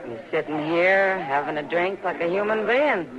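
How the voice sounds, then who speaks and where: A performer playing a sharp-tongued saloon keeper in a 1950s radio drama, wry and needling. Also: male voice, high voice, moderate pace, lightly acted. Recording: lo-fi old radio broadcast, saloon crowd behind.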